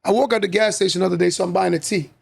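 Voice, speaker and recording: Podcast co-host, man, raspy and gravelly, lively anecdotes. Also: high-pitched. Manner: animated storyteller, quick affirmations. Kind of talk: casual podcast conversation, storytelling.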